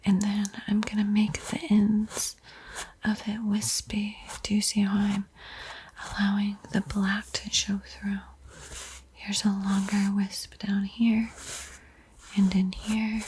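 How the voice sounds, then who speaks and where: A woman whispering softly and breathily in a soothing, playful ASMR roleplay as she guides a painting tutorial. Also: medium pitch, monotone, slight background noise, poor audio. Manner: slow, soothing, playfully teasing. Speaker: art tutorial host.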